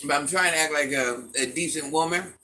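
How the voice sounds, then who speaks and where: Middle-aged woman w/ a deep smoky voice, sassy n blunt, reading chat live, bright edgy tone. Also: a little fast. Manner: blunt, sassy, talks back to commenters. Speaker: livestream host reading chat comments.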